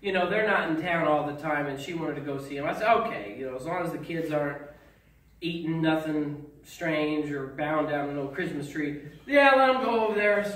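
High-voiced man telling jokes. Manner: folksy storytelling, gets loud and emphatic at punchlines. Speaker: stand-up comedian. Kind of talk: stand-up comedy at a congregation event. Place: small fellowship hall with audience. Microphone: far camera, no mic. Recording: echoey hall recorded from far off with audience laughter.

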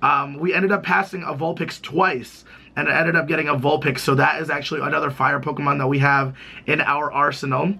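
Young male gaming vlogger, voice hoarse and gravelly, slightly high, chatty and excitable. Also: a little fast. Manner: excitable, chatty, rambling with many "like"s. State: hoarse, recovering from lost voice. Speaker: gaming YouTuber. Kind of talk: casual gameplay vlog. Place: small room indoors.

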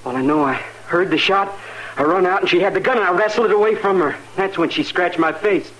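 A man's voice: high-pitched, fairly bright tone. Agitated young frontier farm boy. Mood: frightened, evasive. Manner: halting, agitated. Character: frightened, sullen, evasive young frontier farmer's son. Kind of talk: 1950s radio western acting. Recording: noisy vintage 1950s radio recording.

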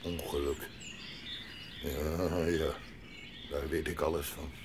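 An actor playing a gruff, grumpy old mountain grandfather in a Dutch audio drama, barking and muttering to himself. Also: a bass voice, little pitch movement, slow, noisy background.

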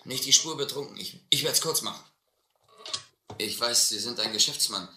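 An actor playing a desperate, educated drifter who drinks hard, rambling and pleading in halting bursts in a radio adventure play. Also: male voice, mid-range voice, pushed and bright, roomy sound, a little hiss or hum. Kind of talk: German audio adventure drama.

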